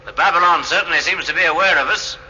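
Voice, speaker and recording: High-pitched, methodical spaceship crewman. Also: fast, pushed and bright, muffled recording. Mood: reasoning, insistent. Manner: matter-of-fact, methodical. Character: observant, reasoning starship crewman reporting in. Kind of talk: radio drama acting.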